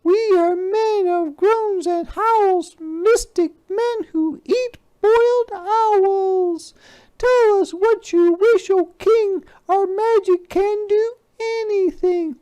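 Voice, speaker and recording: A weird old magician out of a storybook, played by a woman, chants in rhyme with eerie glee. The voice is pushed far up into a shrill, loud register and keeps a steady singsong lilt. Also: slow pace. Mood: ominous chanting. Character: chanting band of weird old male magicians, eerily gleeful.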